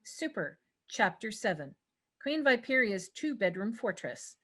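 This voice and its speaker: A woman's voice: medium pitch, sing-song, measured pace, a bit bright. A woman narrating stage directions at a scripted table read, occasionally stumbling over words.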